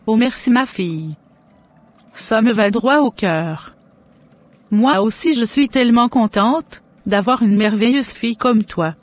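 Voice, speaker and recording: A woman's voice: fairly high pitch, unhurried. A woman playing a devout, tender middle-aged mother in a cartoon, formal and reassuring. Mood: joyful, grateful. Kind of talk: scripted cartoon dialogue.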